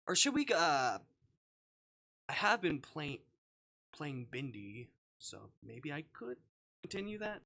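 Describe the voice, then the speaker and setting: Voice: mid-range voice, very animated, slow, vocal fry, pauses often, pushed and bright. A live streamer chatting casually while playing visual novels, rambling and thinking aloud.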